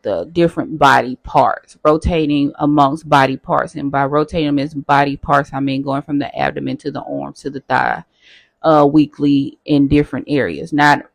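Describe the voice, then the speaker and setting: A nurse chatting casually with her viewers in a how-to vlog, talking straight to them as y'all, her voice hoarse. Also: low voice. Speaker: nurse sharing her own experience.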